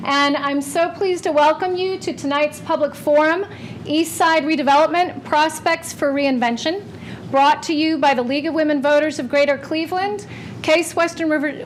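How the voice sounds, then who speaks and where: A woman welcoming the audience and reading announcements at a public library forum, with a loud voice and careful, deliberate delivery of dates and times. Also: sits high, a little hiss or hum. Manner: says she has a loud voice. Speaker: library communications manager introducing a forum. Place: public library meeting room.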